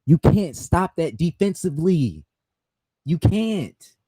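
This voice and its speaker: A man in his twenties chatting casually with his live-stream viewers from a home setup, excitable and repetitive. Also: on the high side, slow pace, breathy edge, poor audio. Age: 20s. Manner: excitable, repetitive, talks straight to chat. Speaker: sports streamer. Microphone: desk mic and headphones.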